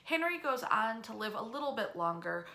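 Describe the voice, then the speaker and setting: A woman with a fairly high, bright and forceful voice tells history as an informal story. She is conversational and enthusiastic, stressing words for emphasis. The room around her adds a noticeable echo. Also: big pitch swings, moderate pace, creaky. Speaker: history storyteller and tour club host. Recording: roomy, echoey room sound.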